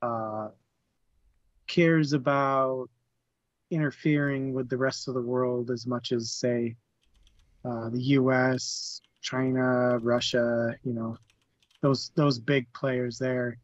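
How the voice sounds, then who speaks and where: A man in a casual book discussion, laughing often and pausing with fillers. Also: medium pitch, steady tone, no room sound. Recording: dull lo-fi mic.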